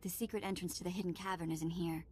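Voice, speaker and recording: A woman's voice: lowish voice, steady tone, quick pace, a little mellow, slight background noise, close to an everyday voice. A woman playing a plucky, cheeky slave companion and guide in a video game, informal and spirited. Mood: eager.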